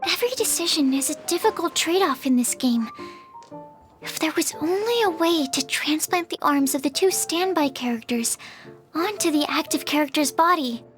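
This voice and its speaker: A woman's voice: sits high, animated, normal speed, soft background music. A woman voicing an earnest, polite young female alchemist in a video game, speaking in a thoughtful, apologetic manner. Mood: dejected, fretful. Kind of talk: video game character dialogue.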